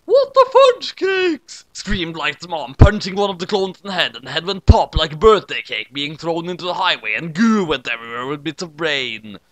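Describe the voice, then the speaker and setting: A man's voice: on the high side, animated, fairly quick, hardly any pauses, fairly bright tone. A man reading fan fiction aloud as hammy comic narration, emphatic and theatrical.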